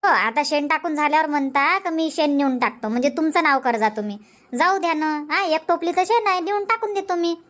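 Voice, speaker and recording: A woman voicing a chatty, sharp-tongued village housewife in a dubbed cartoon comedy, scolding and complaining. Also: sits high, fairly quick.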